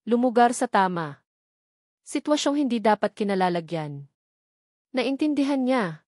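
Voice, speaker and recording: A woman's voice: fairly high pitch, lively intonation, unhurried, darkish tone, no room sound, studio quality. A woman reading a scripted romantic drama story as its narrator.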